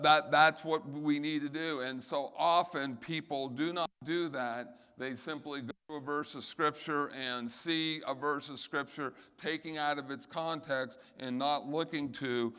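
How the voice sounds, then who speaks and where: A man teaching a Bible study, halting and restarting phrases and slowing down on key words. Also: fairly high pitch, steady tone, sharp tone, clean tone. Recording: long hall reverb.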